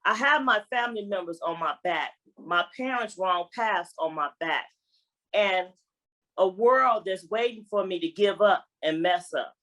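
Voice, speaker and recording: A woman's voice: medium pitch, expressive, measured pace, a bit bright, rough recording. A woman reading her own poem aloud as a spoken-word recital. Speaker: poet reading own work.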